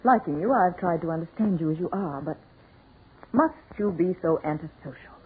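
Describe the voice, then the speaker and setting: A woman's voice: fairly low pitch, expressive, moderate pace, close to an everyday voice. An actress playing an earnest, poised, reproachful society woman in a 1940s radio drama. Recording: scratchy 1940s radio broadcast, muffled, noisy.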